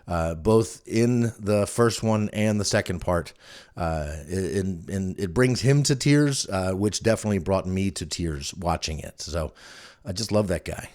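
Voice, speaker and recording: A man's voice: deep voice, a little mellow. A middle-aged man hosting a podcast, giving casual recommendations in a rambling, conversational way. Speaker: podcast host. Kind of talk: casual solo recommendations chat.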